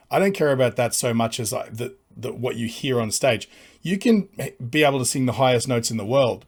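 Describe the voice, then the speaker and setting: A man with a low voice hosting a music reaction video, talking casually and rambling with fillers and restarts.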